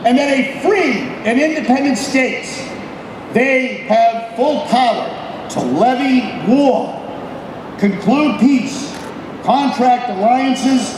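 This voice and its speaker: High-pitched man declaiming slowly, echoing from afar. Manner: loud, solemn, oratorical, with deliberate pauses between phrases. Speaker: military company captain giving a ceremonial reading. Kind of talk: formal public declamation of a historical proclamation. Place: public ceremony at an old statehouse. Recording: distant, echoey public address with background noise.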